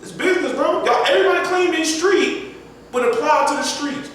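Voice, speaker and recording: A young adult man, a rapper guest, talking casually and slangily on a podcast, his voice jumping up and getting loud when he gets animated. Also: brisk. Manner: laid-back, slangy, climbs high and loud when animated. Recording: echoey room, distant mic, faint noise.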